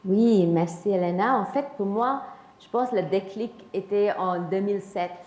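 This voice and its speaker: A woman from India, a nonprofit director, telling her story on a conference panel in an earnest, halting way with restarts. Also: mid-range voice, darkish tone. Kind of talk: panel talk, personal story. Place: conference hall with audience. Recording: echoey hall sound.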